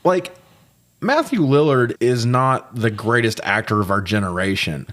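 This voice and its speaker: A man co-hosting a podcast, chatting casually through a movie recap in a jokey, swear-heavy way that gets animated when he is riled. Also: mid-range voice, unhurried. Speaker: podcast co-host.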